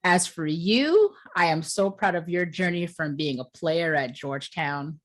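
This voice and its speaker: A woman's voice: on the low side, lively intonation, unhurried. A woman hosting a casual interview, enthusiastic and affirming, often asking "you know what I mean". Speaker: podcast host.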